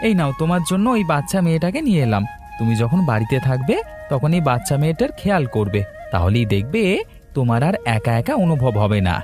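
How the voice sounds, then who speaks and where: A man voicing a young, scheming village rogue in a cartoon, expressive and conversational. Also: medium pitch, fast talker, music in the background, close to an everyday voice. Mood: cajoling. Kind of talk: cartoon fairy-tale voice acting.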